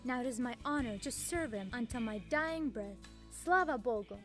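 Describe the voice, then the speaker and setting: Gung-ho Russian female fighter, high voice, grandiose and military, audio drama. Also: lively intonation, moderate pace, a bit bright, soft background music. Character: fierce gung-ho female Russian warrior, devoted and trigger-happy.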